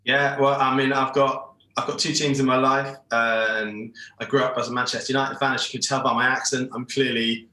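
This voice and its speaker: A man in his forties from England talks over a video call, rambling and restarting his sentences. His voice is bright and forceful, and it comes through with a roomy echo. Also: mid-range voice, little pitch movement, a little fast, hardly any pauses. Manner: rambling, hesitant, many restarts. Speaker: shirt designer, guest. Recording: echoey room over internet call.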